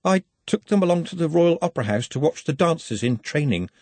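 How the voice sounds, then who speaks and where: Male reader as timid polite young-sounding servant, raised pitch, husky, formal, careful, earnest, novel character. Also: very animated, brisk, a little mellow, dry recording. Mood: nervous, earnest. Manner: precise, formal, embarrassed and deferential.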